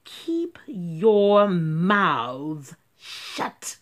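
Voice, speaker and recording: A woman voicing a stern, unpleasant substitute teacher in a storybook, hissing and snapping slow commands. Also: fairly low pitch, sing-song, heavily acted. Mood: strict, threatening.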